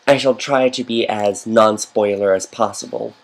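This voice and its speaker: A man's voice: lowish voice, steady tone, normal speed, gravelly. A man giving casual, rambling commentary over a game, wandering into fannish asides.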